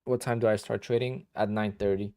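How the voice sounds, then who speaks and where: A man in his thirties with a Polish accent talks casually to a live-stream audience, tired and a little sleepy. His voice sits low with a gravelly edge. The sound is close and dry, with mouse clicks now and then. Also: level tone, brisk, warm tone, dry recording. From Poland. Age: 30s. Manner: chatty, answers viewer questions with many "like"s and "you know"s. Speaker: day trader streaming live.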